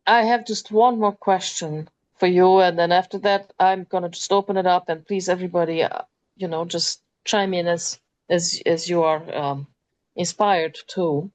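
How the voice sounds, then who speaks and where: Woman, lower voice. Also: steady tone, a little mellow. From Transylvania, Romania. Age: 50s. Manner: thoughtful, many ums and pauses. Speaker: interview host. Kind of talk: moderated talk.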